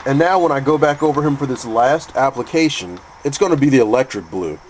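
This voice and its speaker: A man with a stuffy, congested voice from a cold, calmly explaining a painting technique step by step with frequent restarts. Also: medium pitch, brisk, slight background noise. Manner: calm, methodical, with frequent restarts. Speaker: custom figure painter teaching. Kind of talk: painting tutorial narration.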